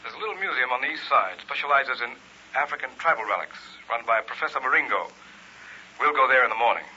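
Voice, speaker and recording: Measured, advising doctor friend, vintage radio drama, forceful bright tone, faint noise on a muffled recording. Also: male voice, medium pitch, animated. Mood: measured, concerned. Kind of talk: old-time radio drama acting.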